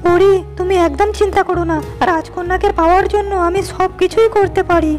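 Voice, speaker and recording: A woman's voice: extremely high pitch, fairly even intonation, a little fast, darkish tone, soft background music. A woman voicing a poor, lovesick young male shepherd in a cartoon, speaking in a humble, pleading way. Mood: determined.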